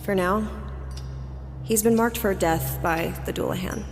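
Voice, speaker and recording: A woman's voice: mid-range voice, a little slow, big-room sound, faint music behind, close to an everyday voice. A woman acting in an audio drama as a determined, troubled young investigator, earnest and questioning. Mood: uneasy, troubled.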